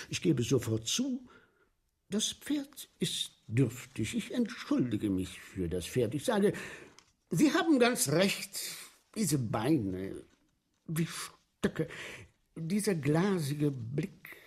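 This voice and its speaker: A grandiose showman presenting a gruesome arena spectacle in a radio drama. His voice is husky and breathy, with a slow, savouring delivery. It swings widely from hushed murmurs to ringing barker's calls. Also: mid-range voice, exaggerated voice. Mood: excited, insistent. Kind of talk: theatrical German radio drama monologue.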